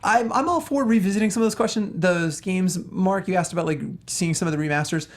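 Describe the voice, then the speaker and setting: Male co-host, quick talker, slightly high pitch, enthusiastic and joking. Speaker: panel show co-host. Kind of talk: casual chat about video games.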